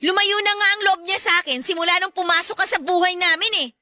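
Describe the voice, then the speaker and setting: Young Filipino stepdaughter, very high bright voice, wounded, defiant, accusing, radio drama. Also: female voice, fairly even intonation, quick pace, no room sound, clean studio sound, heavily acted. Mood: resentful.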